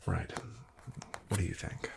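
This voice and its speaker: A man speaking in a breathy, often whispered ASMR style, playing a friendly, unhurried real estate agent. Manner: friendly, unhurried, gently attentive salesman. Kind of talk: soft-spoken, whispered ASMR roleplay.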